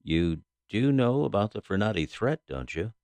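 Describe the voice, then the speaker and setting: An actress playing a stern, businesslike FBI agent in an audio drama, questioning in a formal, clipped manner. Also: female voice, extremely low pitch, animated, normal speed, dark tone, dry recording. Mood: grave, firm.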